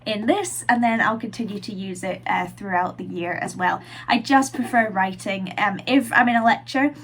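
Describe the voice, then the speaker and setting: A woman's voice: medium pitch, normal speed, fairly bright tone. A young woman vlogging from her room, chatting casually with plenty of fillers, her nose blocked from a cold. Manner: chatty, lots of "like" and "um". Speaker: vlogger and university student.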